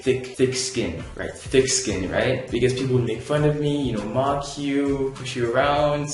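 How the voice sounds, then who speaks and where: Young guy from Canada, casual rambling vlogger, middle pitch, very roomy echo. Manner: rambling, filler-heavy, laid-back. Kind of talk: casual storytelling vlog. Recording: very echoey room.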